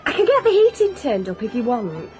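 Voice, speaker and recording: Polite waitress, attentive, high voice, animated intonation, music behind, radio drama. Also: female voice, fairly quick.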